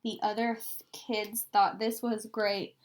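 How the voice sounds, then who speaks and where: A woman's voice: mid-range voice, flat delivery, slow, slight background noise. A woman reading a picture book aloud like a teacher, expressively, drawing out and stressing key words. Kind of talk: expressive children's read-aloud.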